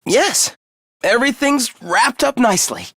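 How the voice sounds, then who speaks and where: Theatrical high-voiced smug young schemer. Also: male voice, expressive, unhurried, bright, forceful tone, no echo, studio quality, exaggerated voice. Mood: earnest. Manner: theatrical, mock-polite, taunting. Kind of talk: English video game voice acting.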